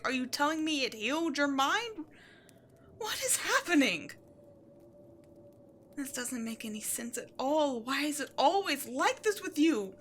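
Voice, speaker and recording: A woman playing an imperious, scheming demon queen in a dramatic audio roleplay, seductive and easily exasperated, moving between outbursts and breathy near-whispers. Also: high voice, bright, forceful tone, a little hiss or hum, full character voice. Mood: exasperated, incredulous. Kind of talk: scripted audio roleplay, theatrical monologue to the listener.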